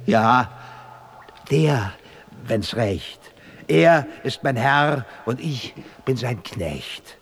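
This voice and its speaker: An actor playing a knight's loyal, pragmatic squire in a radio play, soothing his master in folksy rhymes with a husky voice. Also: mid-range voice, lively intonation, very slow, heavily acted. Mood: deferential, wry. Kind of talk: theatrical rhyming radio play.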